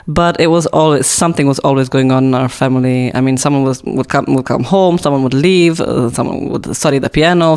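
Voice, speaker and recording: A woman's voice: low voice, darkish tone, smooth. A young woman from Romania, with a Romanian accent and Romanian as her first language, answering interview questions in a hesitant way with many fillers and restarts. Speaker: guest.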